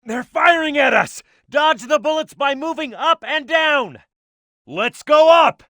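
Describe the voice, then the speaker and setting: Bold high-pitched cartoon fighter. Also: male voice, expressive, unhurried, sharp tone, no room sound, studio quality, heavily acted. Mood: urgent. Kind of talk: scripted video game character voice acting.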